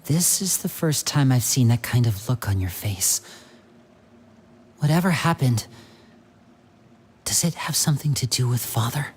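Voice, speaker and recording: An actor voicing a protective, sharp young older brother in a video game, questioning in an articulate, emphatic way. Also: mid-range voice, lively intonation, a little slow, pauses often, a little hiss or hum, lightly acted. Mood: concerned, probing. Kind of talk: English game voice acting. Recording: music behind the voice. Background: game background music.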